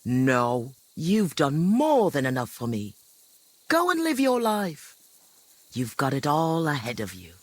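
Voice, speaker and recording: A woman's voice: deep voice, wildly swooping pitch, takes it slowly, dry recording, full character voice. An actress playing a chatty, kindly old village granny in a video game, rambling and hesitating as she talks. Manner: rambling, hesitant recollection with frequent second thoughts. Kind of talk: video game dialogue acting.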